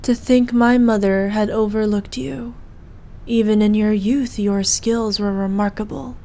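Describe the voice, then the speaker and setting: A woman's voice: mid-range voice, steady tone, a little slow, dark tone, natural voice. A woman playing an evil, imperious queen in a scripted roleplay, speaking in a haughty, formal, commanding manner. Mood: tender, gracious. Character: evil imperious queen, cruel to subjects, doting on her knight. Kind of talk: scripted ASMR roleplay acting.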